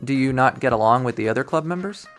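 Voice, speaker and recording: An awkward teenage boy from a visual novel, as a narrator acts him out, earnest and lovestruck. His voice sits at a medium pitch with music playing behind. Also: lively intonation, fast talker, lightly acted.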